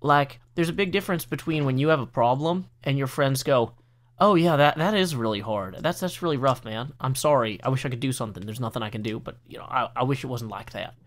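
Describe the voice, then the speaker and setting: A man's voice: medium pitch, fairly quick. A man from the United States hosting a casual gameplay commentary over a desk mic, talking earnestly and rambling with many fillers and restarts. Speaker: let's play host.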